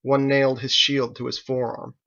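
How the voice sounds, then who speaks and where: A man's voice: mid-range voice, flat delivery, moderate pace, vocal fry, sharp tone, dull audio. A man reading his own novel aloud as dramatic audiobook narration.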